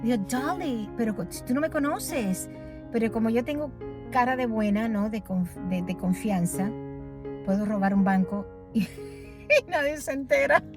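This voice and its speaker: A woman's voice: fairly high pitch, animated, normal speed, over music. A woman who grew up in Los Angeles, rambling cheerfully on a walking vlog at night, often changing topics and laughing at herself. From raised in Los Angeles. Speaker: vlogger. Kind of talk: casual vlog walking tour. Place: outdoor street corner at night.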